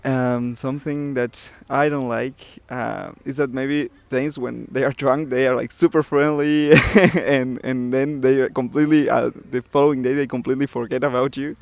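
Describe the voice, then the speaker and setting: A young man from Argentina with an Argentine accent, answering street interview questions softly and hesitantly. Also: mid-range voice, fairly even intonation, normal speed, vocal fry, few pauses, no echo. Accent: Argentine Spanish. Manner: soft, laughs easily, hesitant.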